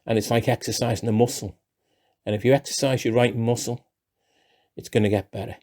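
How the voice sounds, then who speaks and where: A man in his sixties with a Liverpool accent, speaking in a relaxed, chatty way with dry self-deprecating humour. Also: medium pitch, a little fast. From Liverpool, England. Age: 60s. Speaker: playwright. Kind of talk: relaxed talking-head interview.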